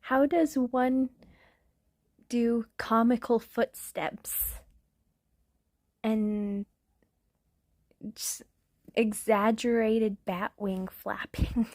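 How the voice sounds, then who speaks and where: A young woman, an ASMR creator, chatting casually in a soft, half-whispered, giggly way. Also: fairly high pitch, dry recording. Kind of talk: casual chat intro to an ASMR video.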